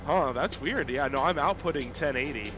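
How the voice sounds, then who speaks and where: A man's voice: mid-range voice, normal speed, music in the background, rough recording. A man live-streaming a farming game, rambling and thinking aloud with lots of fillers, still a little congested from being sick. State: congested, recovering from illness. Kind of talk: casual gameplay live stream chat.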